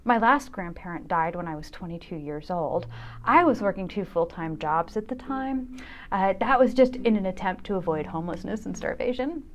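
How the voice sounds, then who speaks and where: A woman's voice: mid-range voice, expressive, normal speed, slight background noise. A woman in her fifties hosting a solo show, narrating a scripted personal essay in a wry, candid manner. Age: 50s.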